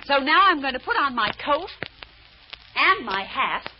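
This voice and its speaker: An actress playing a sharp-witted, defiant secretary with a sardonic manner in an old radio drama. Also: female voice, high voice, very animated, measured pace. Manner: sassy, sardonic. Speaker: actor. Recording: muffled, noisy old radio recording.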